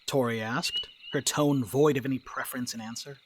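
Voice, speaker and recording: A man's voice: medium pitch, normal speed, background noise. A man reading scripted narration for a dramatic reading. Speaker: narrator reading stage directions.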